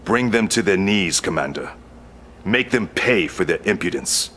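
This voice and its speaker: A man's voice: sits low, lively intonation, a little slow, fairly bright tone, slight background noise. A man playing a proud, vengeful Japanese imperial heir and samurai warlord in a video game, speaking in a solemn, formal, commanding way. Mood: fierce, commanding. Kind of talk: scripted game cutscene acting.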